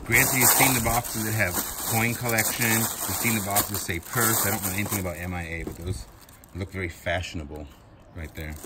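A man chatting casually to viewers while unboxing shoes outdoors, filming first-person. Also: lowish voice. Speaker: storage auction vlogger. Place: outdoors by a cardboard box. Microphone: handheld camera, first-person view. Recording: loud outdoor background noise.

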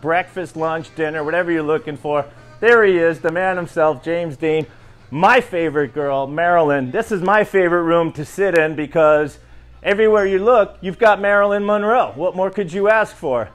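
A middle-aged man hosting a casual food vlog in a diner, talking enthusiastically in short bursts through a face covering. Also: a little high. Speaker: restaurant review host. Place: inside a diner, moving through rooms.

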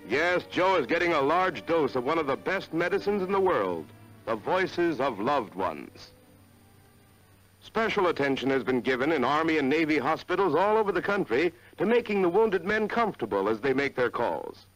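A man's voice: fairly high pitch, sing-song, normal speed, a bit bright, no echo, soft background music, poor audio. A man with an American accent narrating a 1940s educational film in an earnest, polished, formal way on a vintage soundtrack.

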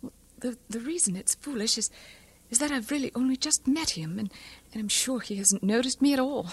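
A woman's voice: a little high, brisk, husky. An actress playing an earnest, shy young missionary woman in an audio drama, hesitant and confiding.

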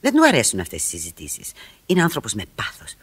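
An actress playing a coquettish, quick-witted, self-assured married woman in a Greek radio play, with coy, theatrical delivery. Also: rumbling low, wildly swooping pitch, slightly rough, exaggerated voice. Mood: coy, confident.

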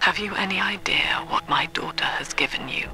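An actress voicing a cold, brooding woman mastermind in a video game, speaking slowly in a gravelly half-whisper. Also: mid-range voice, pushed and bright, faint music behind, poor audio. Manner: slow, icy and reflective.

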